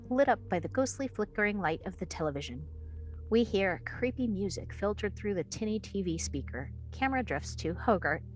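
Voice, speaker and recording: A woman's voice: mid-range voice, low-quality recording. A woman reading stage directions as the narrator of a screenplay table read.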